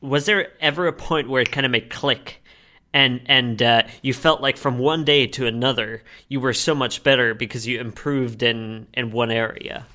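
A man working as an interviewer, casually asking questions and pausing hesitantly as he forms them. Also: mid-range voice, steady tone, pushed and bright.

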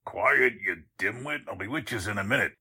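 A man's voice: lowish voice, lively intonation, brisk, pushed and bright, slight background noise, heavily acted. An actor playing a scheming, pompous con man who poses as a count, in 1940s radio drama style. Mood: irritated, bossy. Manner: suave affected courtesy switching to gruff bossiness.

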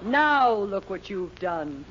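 An actress playing a haughty, bossy, ambitious wife, imperious and sharp-tongued, in a 1940s radio sitcom. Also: female voice, mid-range voice, very animated, takes it slowly. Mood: bossy, indignant. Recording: muffled, hissy 1940s radio recording.

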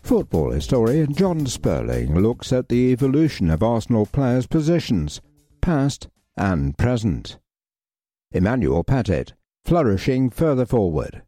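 A man reading a football history article aloud in a measured, even way. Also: mid-range voice, no echo, faint music behind. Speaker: reader.

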